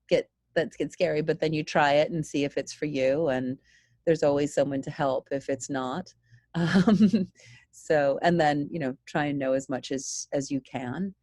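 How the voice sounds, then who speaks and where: A woman's voice: sits low, darkish tone, no room sound, studio quality. A woman hosting a live video Q&A, chatty and encouraging as she reads out questions. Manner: chatty, encouraging, laughs between thoughts. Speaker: host.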